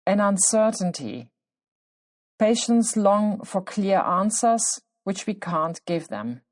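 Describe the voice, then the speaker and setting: A woman with a German accent, a native German speaker who works as a clinician, hosts her own podcast. Her voice sits in a middle pitch, and she narrates from a script at a measured, deliberate pace, pausing for emphasis. She sounds middle-aged. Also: animated. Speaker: podcast host.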